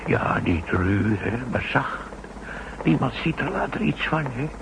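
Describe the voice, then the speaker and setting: Creaky old-radio safecracker, polite n hesitant, fancies himself an artist, noisy recording. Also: male voice, medium pitch, animated. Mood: wistful, proud. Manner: deferential, hesitant, halting with verbal tags. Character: genteel male safecracker who sees himself as an artist. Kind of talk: 1960s Dutch radio play acting.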